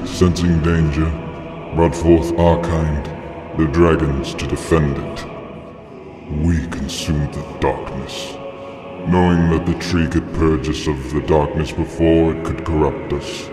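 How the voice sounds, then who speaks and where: An ancient dragon elder, speaks in an extremely low voice drenched in long reverb. His delivery is slow, grave and prophetic, like solemn lore told in a fantasy comic dub, with music clearly behind it. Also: flat delivery. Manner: solemn, ominous, deliberate storytelling. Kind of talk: dramatic comic dub acting.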